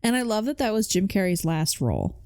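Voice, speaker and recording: A female podcast co-host with a mid-pitched voice talks casually and gives her opinions like an avid fan. The sound is very dry, with no room echo. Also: sing-song, a little fast, darkish tone. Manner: informal, opinionated fan talk. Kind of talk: casual chat about video game movies.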